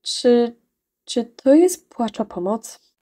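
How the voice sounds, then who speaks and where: A woman's voice: fairly high pitch, lively intonation, takes it slowly, noisy background. A woman voicing an anxious, bewildered young cartoon jester with a hesitant, nervous delivery in a fan dub.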